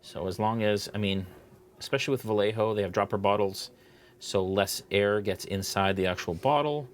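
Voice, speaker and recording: A man hosting a live miniature painting tutorial, relaxed and chatty, thinking aloud as he paints. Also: lowish voice, moderate pace.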